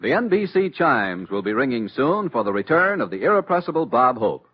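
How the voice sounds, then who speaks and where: A man's voice: mid-range voice, very animated, fairly quick, loud music behind the voice. An American man announcing in a polished, emphatic 1950s network radio style. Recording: muffled old radio broadcast recording.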